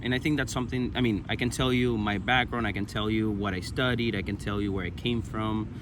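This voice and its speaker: A man in his thirties from Venezuela with a Venezuelan Spanish accent, telling his own story conversationally, haltingly searching for words. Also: fairly low pitch, fairly even intonation, noisy background. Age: 30s. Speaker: interview guest.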